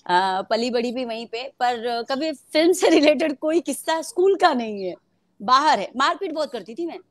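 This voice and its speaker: A young actress from Mumbai talks casually in an interview, her voice sitting a little high. She laughs often and fills her pauses with hesitations as she tells her story. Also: animated, dry recording. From Mumbai, India.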